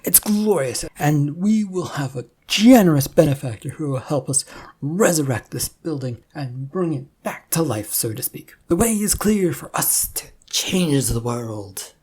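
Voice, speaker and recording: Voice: medium pitch, lively intonation, a little slow, dark tone, husky. A narrator voicing a smug, evasive god with a grandiose, dismissive manner in a story reading. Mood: pleased, grand. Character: smug, breezy, evasive god founding his own religion.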